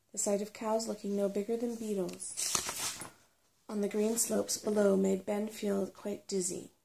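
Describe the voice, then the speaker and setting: A woman's voice: medium pitch, gravelly, warm tone, low-quality recording. A woman reading a children's fantasy novel aloud as ASMR storybook narration. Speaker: storybook reader.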